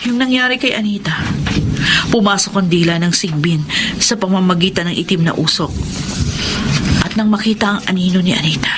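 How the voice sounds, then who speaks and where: An actress voices a brave Filipino monster hunter in a horror radio play. Her mid-pitched voice is resolute and commanding, set against clear background noise. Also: a little fast, long pauses, close to an everyday voice. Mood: grave, resolute. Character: bold, determined monster hunter, brisk and defiant.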